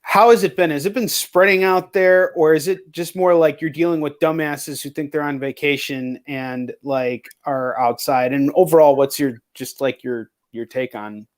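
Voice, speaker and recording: A man's voice: a little high, fairly even intonation, moderate pace, hardly any pauses. A man hosting a casual chat interview, talking bluntly and swearing freely. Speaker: show host.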